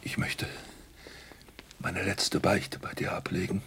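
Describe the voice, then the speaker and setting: A man playing a dying, guilt-ridden older priest in a radio drama, gasping his confession in halting whispers and anguished bursts. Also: deep voice, level tone, a bit bright. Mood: weak, urgent. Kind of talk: German radio drama acting.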